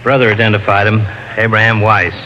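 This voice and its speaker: Forceful police homicide lieutenant. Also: male voice, lowish voice, level tone, fairly quick, dull audio. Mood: grave. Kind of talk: 1940s-50s radio drama acting. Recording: hissy old radio recording.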